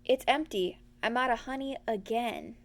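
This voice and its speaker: Storybook bear, simple and earnest, a little glum, played by a woman, slightly high voice. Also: very animated, moderate pace, creaky. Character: slow, glum, honey-loving bear, gentle and earnest.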